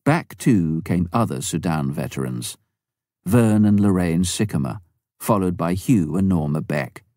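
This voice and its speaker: A man reading a first-person memoir aloud in a measured, even way. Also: low voice, no echo, clean studio sound. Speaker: audiobook reader. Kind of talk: scripted memoir narration.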